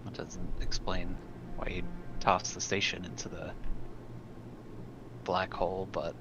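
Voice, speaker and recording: A man roleplaying in a tabletop game, speaking in a measured, deliberating manner. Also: deep voice, little pitch movement, music in the background.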